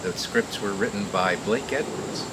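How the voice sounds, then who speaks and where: A man hosting a live outdoor garden show, welcoming people and doing magician's patter in an informal, halting, rambling way. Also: on the low side. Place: outdoor community garden event. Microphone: live mic through a speaker. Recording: very noisy outdoor live recording.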